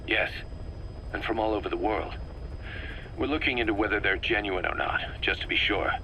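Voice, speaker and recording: Low, sharp-voiced military second-in-command. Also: male voice, slight background noise, poor audio. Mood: methodical. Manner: measured, methodical explaining. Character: analytical, wary male military second-in-command briefing his leader. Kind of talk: scripted video game cutscene dialogue.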